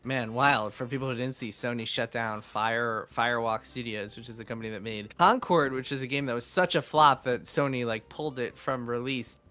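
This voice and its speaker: A man's voice: mid-range voice, moderate pace, hardly any pauses, pushed and bright. A man speaking as an interviewed journalist and author, explaining things informally in loose, colloquial sentences. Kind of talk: casual interview conversation.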